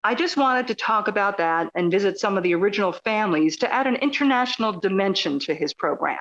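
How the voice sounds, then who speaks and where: A woman's voice: mid-range voice, expressive, fast, fairly bright tone, highs cut off, natural voice. A woman playing a courteous, persistent radio journalist in an audio drama, articulate and probing. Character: polite, inquisitive, persistent female radio journalist.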